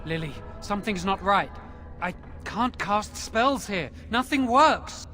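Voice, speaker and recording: A man's voice: high voice, animated, takes it slowly, bright, forceful tone, faint music behind, full character voice. A man playing an anxious young mage in a fantasy video game, speaking with uneasy urgency. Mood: urgent, anxious.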